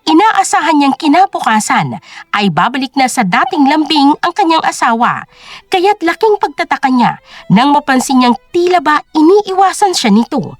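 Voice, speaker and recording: A woman narrates an audio drama in a high, very expressive voice. The delivery is scripted storytelling, recorded dry with soft music underneath. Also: normal speed, no echo.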